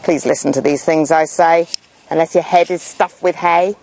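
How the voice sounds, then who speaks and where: A woman reading a rhyming storybook aloud to children in an expressive, theatrical way. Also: low-pitched, normal speed, slight background noise, low-quality recording.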